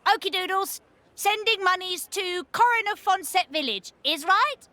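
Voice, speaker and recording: A woman's voice: very high voice, lively intonation, moderate pace, bright, forceful tone, no room sound, exaggerated voice. A woman voicing a small merchant creature who talks in broken, cutesy pidgin. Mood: chirpy. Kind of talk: video game voice acting.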